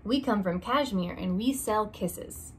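A young woman reading a children's alphabet picture book aloud, slow and deliberate, stressing each opening letter, in a small room. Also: medium pitch, a little hiss or hum. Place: small room indoors by a curtained window.

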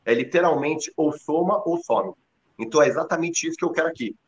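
A man's voice: a little high, brisk. A man in his thirties with a Brazilian Portuguese accent, speaking emphatically over a video call from his living room. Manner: energetic, emphatic, many fillers. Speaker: MMA team leader and coach. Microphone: laptop webcam on video call. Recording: dull lo-fi call audio.

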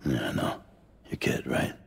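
A man playing a weary, gruff courier hero in a cinematic video game, terse and muttering. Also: moderate pace, slight background noise, natural voice. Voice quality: gravelly.

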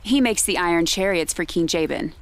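A woman playing a composed, quietly cunning wife in an amateur audio drama, soothing and deliberate. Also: fairly low pitch, lightly acted.

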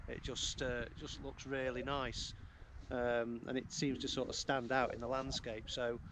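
A man's voice: medium pitch, flat delivery, unhurried, noisy background. A middle-aged man talks casually as he walks and shoots photos outdoors on open moorland. He fills his talk with ums and restarts, and he speaks close to a handheld camera. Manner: hesitant, many ums and restarts. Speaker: landscape photographer vlogger. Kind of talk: casual on-location vlog talk. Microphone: camera held close to face.

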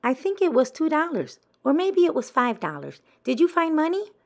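A sly little girl badger played by a woman in a children's storybook, pitched up high. She wheedles and coaxes, pushy about getting her way. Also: huge pitch swings, moderate pace, darkish tone, heavily acted. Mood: wheedling.

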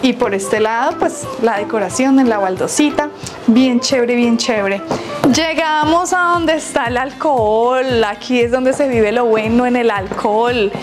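A woman's voice: on the high side, measured pace, music in the background. A young woman gives a chatty, playful walk-through of a restaurant, often laughing at her own jokes, recorded on a lapel mic. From Colombia. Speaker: vlogger touring a restaurant. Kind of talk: casual walk-through vlog. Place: large colorful restaurant interior, indoors.

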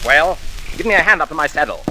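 A man's voice: fairly high pitch, lively intonation, fast, bright, forceful tone, slight background noise, poor audio. A man playing a cruel, jealous, domineering young master in a 1940s radio drama, with a sneering manner. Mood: sneering, threatening.

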